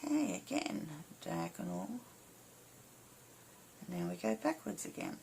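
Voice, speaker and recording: Slow-paced, croaky woman teaching a craft. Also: fairly low pitch, lively intonation, a little mellow, slight background noise. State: slightly hoarse, croaky voice. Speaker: embroidery instructor. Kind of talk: casual step-by-step craft tutorial.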